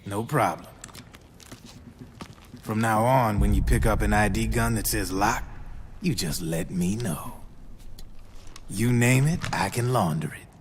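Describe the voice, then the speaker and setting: Low-voiced actor as a slick arms dealer. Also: male voice, unhurried, long pauses, a little hiss or hum, natural voice. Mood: smug, talkative. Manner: slangy, salesmanlike, smug. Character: slick, cynical male arms dealer, folksy street hustler. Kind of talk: video game cutscene acting. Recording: loud music underneath. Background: music.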